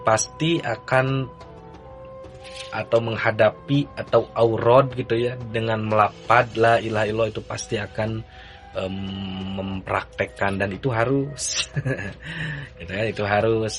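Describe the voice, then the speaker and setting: Man, religious teacher, slow and relaxed, mid-pitched, faint music behind. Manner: relaxed, chanting the text lines in a sung recitation. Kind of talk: kitab reading lesson.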